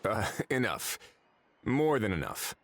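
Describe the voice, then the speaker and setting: An actor playing a calm, shrewd official in a video game, politely probing and dryly ironic. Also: male voice, lowish voice, slow pace, a little hiss or hum, full character voice. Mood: wry, observant. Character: calm, shrewd male palace official, quietly menacing questioner.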